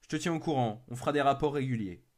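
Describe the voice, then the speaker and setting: A man's voice: mid-range voice, quick pace, darkish tone, lightly acted. A man voicing an anxious young rescuer in an amateur horror story, casual and hesitant in manner. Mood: casual, hurried.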